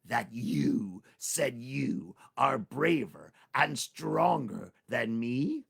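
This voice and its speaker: A man voicing a proud, gruff male tiger who speaks slowly and menacingly. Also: medium pitch, sing-song, fairly bright tone, exaggerated voice. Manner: slow, menacing, growly.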